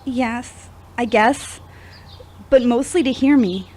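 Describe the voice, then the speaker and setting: Woman acting as a wounded, higher-voiced young woman confessing. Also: takes it slowly, a little mellow, slight background noise. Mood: vulnerable, pleading. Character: wounded, confessional twenty-four-year-old woman, recovering addict. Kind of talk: scripted audio drama acting.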